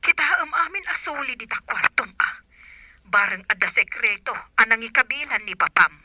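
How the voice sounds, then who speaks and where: A woman's voice: fairly high pitch, lively intonation, normal speed, bright, forceful tone, dry recording, heavily acted. Woman as an auntie on a piercing phone line. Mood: urgent. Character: helpful, brisk auntie advising over the phone. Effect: telephone filter. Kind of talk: audio drama acting.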